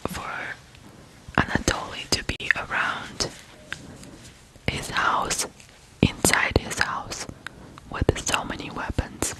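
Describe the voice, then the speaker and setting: An ASMR host from Ukraine, telling stories in a hushed whisper with frequent pauses between short phrases. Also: rough recording. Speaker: ASMR video host and storyteller. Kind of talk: whispered ASMR true crime storytelling.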